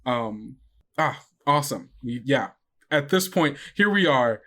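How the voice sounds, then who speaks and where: A man's voice: mid-range voice, expressive, a little slow, a bit bright. A man hosting as game master, wrapping up a tabletop podcast in a loose, chatty way full of fillers. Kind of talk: casual podcast sign-off.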